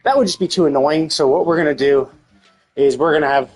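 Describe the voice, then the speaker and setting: Male streamer, somewhat high register, informal and sweary, talking through game opinions at length over faint music. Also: fairly quick, poor audio. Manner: profane, informal; rambling, explanatory, opinionated. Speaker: live streamer. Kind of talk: casual game stream commentary.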